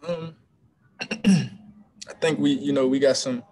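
A young man, a college basketball player, answering press questions after a game over a video call, relaxed and hesitant with many ums and restarts. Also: medium pitch, a little slow, slight background noise. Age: young adult. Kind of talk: postgame press conference answers. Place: small room before a team backdrop. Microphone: video call webcam.

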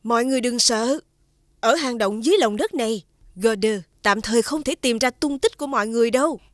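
A woman playing a noble, protective fairy queen in an animated fairy tale, earnest and dignified. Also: fairly high pitch, brisk, no echo, clean studio sound, lightly acted. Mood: reassuring.

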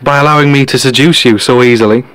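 A man playing a cocky, teasing genius detective in an audio drama, deadpan and matter-of-fact. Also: mid-range voice, fast talker, clean tone, natural voice, low-quality recording. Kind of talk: podcast-style audio drama dialogue.

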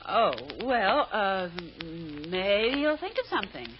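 A woman's voice: mid-range voice, very animated, slow. A woman playing a composed, coaxing figure who covers up a ruse in an old radio detective drama. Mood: smooth, persuading. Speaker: actor. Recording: dull, noisy old radio recording with clicks.

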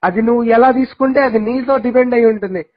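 A man's voice: very high voice, quick pace, a crowd in the background. A young man speaks in a casual, insistent way, as if advising a friend. The sound is a dull, lo-fi recording of a phone call.

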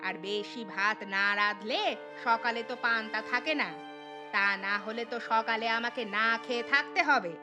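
A woman's voice: fairly high pitch, moderate pace, music in the background, lightly acted. A woman voicing a gluttonous, self-pitying elderly mother-in-law in a cartoon story, with a demanding, whining manner. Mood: aggrieved.

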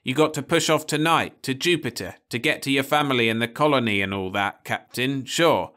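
A man reading aloud, voicing a hasty, excitable old male ex-drunk with a high, fidgety voice who begs to prove himself. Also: a little fast, natural voice. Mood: eager pleading. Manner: hasty and pleading. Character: jittery old male ex-drunk computing whiz.

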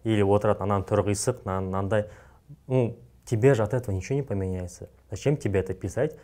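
A man's voice: fairly low pitch, fast talker, mellow. A young man from Kazakhstan with a Kazakh accent, talking bluntly and casually with slang as a podcast guest on a lapel mic. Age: young adult. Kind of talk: casual studio talk.

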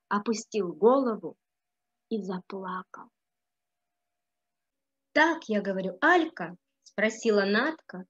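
A young adult woman reading a story aloud in an expressive, dramatic way with deliberate phrasing. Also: mid-range voice. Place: small room indoors. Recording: dull lo-fi webcam sound.

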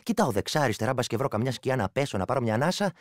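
An actor as an animated, irritable rustic ex-soldier. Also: male voice, mid-range voice, no room sound, clean studio sound, natural voice. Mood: grumbling. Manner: animated, exclamatory, earthy. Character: earthy, irritable male village ex-soldier, irreverent yarn-spinner. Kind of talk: radio play monologue, colloquial storytelling.

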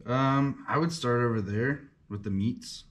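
Man, mid-pitched voice, casual co-host, short agreeing answers. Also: unhurried. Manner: brief agreeing replies. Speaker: co-host friend. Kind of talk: casual chat.

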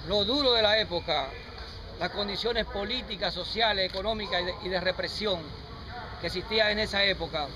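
A middle-aged Dominican man with a Dominican Spanish accent giving an earnest, measured welcome speech at an outdoor ceremony. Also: high-pitched. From Dominican Republic. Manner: earnest, measured, speaking unamplified to a crowd. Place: outdoor plaza in rain. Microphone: handheld camera nearby. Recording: noisy outdoor street recording. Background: street traffic noise.

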